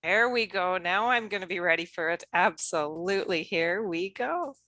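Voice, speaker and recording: A woman hosting the show, excitable and a little scattered. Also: on the low side, moderate pace. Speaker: show host.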